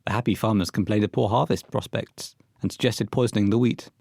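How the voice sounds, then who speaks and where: A man with a low voice narrates a scripted horror tale. He is recorded close and dry, with no room around him. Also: steady tone, a little fast, creaky, darkish tone, dry recording.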